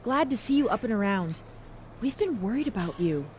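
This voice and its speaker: Woman acting a supportive veteran wrestler, mid-pitched. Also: lively intonation, a little fast, a little hiss or hum, close to an everyday voice. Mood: caring, earnest. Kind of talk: video game cutscene dialogue.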